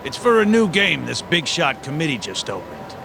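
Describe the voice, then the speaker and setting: A man's voice: on the high side, very animated, moderate pace, creaky, noisy background. A man voicing a folksy, slangy homeless man trading tips and favors in a video game cutscene. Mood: chatty, wheedling.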